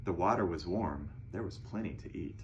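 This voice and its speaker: A man reading a rhyming children's story aloud in an expressive storytelling style. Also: low-pitched, moderate pace, slight background noise.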